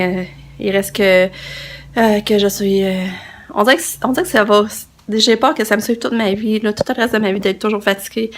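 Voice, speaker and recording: A young woman with a Québécois French accent, vlogging casually to a handheld phone. She is tired and stuffed up with a cold, and she rambles with many fillers and restarts. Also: medium pitch. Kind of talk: casual vlog chat to camera. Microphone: handheld phone camera.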